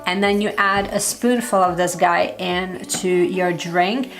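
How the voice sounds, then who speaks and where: A woman in her thirties, slightly hoarse, chatting upbeat about favorite products as a lifestyle vlogger. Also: lowish voice, fairly even intonation, measured pace, soft background music. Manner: chatty, upbeat, heavy use of "like". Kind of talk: casual product review.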